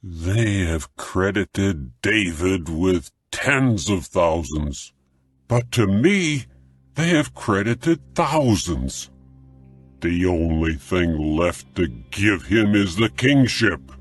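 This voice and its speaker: A scheming, envious monarch in a dramatized scripture reading. The voice is very deep and moves with expressive swings over a slow, deliberate pace. Music plays faintly behind it. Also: male voice, slightly rough, exaggerated voice. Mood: bitter, resentful. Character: jealous scheming older king plotting against a rival.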